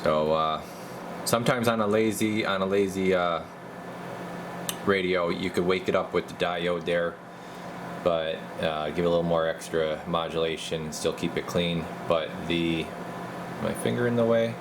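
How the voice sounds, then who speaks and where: A man working as a radio repair technician, giving casual, halting bench commentary as he mutters and thinks aloud. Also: low voice, noisy background. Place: indoor radio repair workbench.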